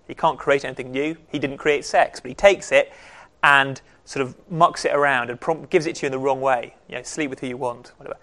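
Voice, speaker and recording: A man's voice: mid-range voice, a bit bright. Casual man leading a Bible study. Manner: conversational, with frequent ums and restarts. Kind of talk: casual teaching talk.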